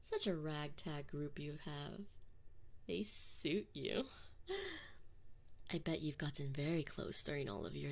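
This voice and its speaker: Woman playing a slow, taunting alien enforcer woman. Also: low voice, exaggerated voice. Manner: slow, taunting, condescending, slipping into breathy whispers. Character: sadistic, gloating female alien enforcer, smug and playfully menacing. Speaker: audio roleplay performer. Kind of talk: ASMR roleplay acting, addressing the listener.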